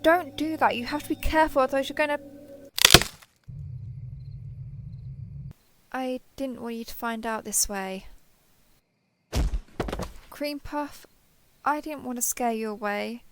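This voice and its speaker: A woman's voice: high voice, moderate pace, long pauses, noisy background. A woman playing a sheltered young female alien, naive and obsessively devoted, talking earnestly and intimately to the listener in an audio roleplay. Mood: anxious, pleading. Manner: earnest, wheedling, over-explaining.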